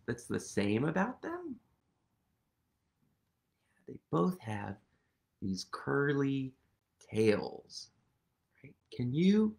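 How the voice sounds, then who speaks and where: A playful man in his thirties reads a picture book to small children in a slow, unhurried way. His pitch swings widely as he coaxes the kids along, and a gravelly edge sits under his voice. He sits on a sofa at home, talking into a webcam. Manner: animated, playful, sing-song, whispers for effect. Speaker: children's storytime reader. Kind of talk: read-aloud for young children. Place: living room at home, seated on a sofa.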